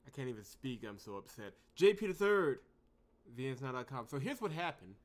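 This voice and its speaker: A man's voice: mid-range voice, very animated, fairly quick. Exasperated man doing game commentary. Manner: exasperated, emphatic, swings from outbursts to muttering. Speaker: gaming channel host. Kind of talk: unscripted let's play commentary.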